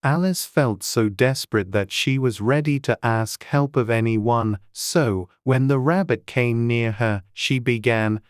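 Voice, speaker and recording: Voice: mid-range voice, measured pace, few pauses, clear, resonant tone, no echo, clean studio sound. A narrator reading a children's storybook as a scripted audiobook.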